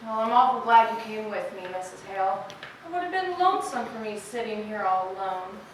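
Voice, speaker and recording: A woman playing a proper, gentle sheriff's wife in a rural period stage play, polite and hesitant in manner. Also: mid-range voice, animated, brisk, natural voice. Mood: sympathetic. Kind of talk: stage play acting, early 1900s rural American drama. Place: stage performance recorded from audience distance. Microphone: distant camera. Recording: echoey hall from far off, noisy.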